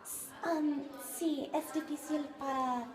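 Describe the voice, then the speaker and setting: A young woman interviewing in halting Spanish, hesitant with many ums. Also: sits high, fairly even intonation, a crowd in the background. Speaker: interviewer, student.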